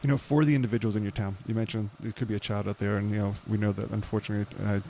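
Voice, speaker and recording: A man hosts a podcast and asks his questions quickly and agreeably. His lowish voice stays flat and mellow as he talks fast. Faint background hiss. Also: creaky. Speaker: podcast host. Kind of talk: casual interview chat.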